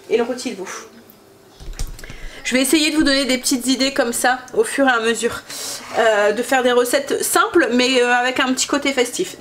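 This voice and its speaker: A woman's voice: high voice, a little hiss or hum. A woman in her thirties with a stuffy nose from a cold, chatting casually while cooking at home, hesitant with many fillers. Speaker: family vlogger. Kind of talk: casual cooking vlog chat. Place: home kitchen.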